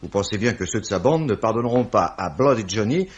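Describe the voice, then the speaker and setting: A man plays a wry police commissioner in a 1950s radio mystery. He talks in a low, richly inflected voice with courteous irony. The recording sounds muffled and old. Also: lively intonation, lightly acted. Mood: explanatory. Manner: measured, ironic, courteous, eloquent. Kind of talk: 1950s French radio drama acting. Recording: dull vintage radio recording.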